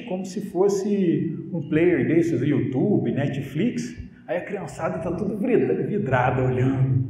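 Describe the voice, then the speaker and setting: A man's voice: a little high, a little hiss or hum. A middle-aged Brazilian man with a Brazilian Portuguese accent gives a conversational university lecture on stage, often tagging sentences with "né?" and "tá?". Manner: conversational, animated, frequent "né?" and "tá?" tags. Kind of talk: lecture to a live audience. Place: large university auditorium stage. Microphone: handheld mic, recorded by a far camera. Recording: roomy auditorium reverb, distant camera.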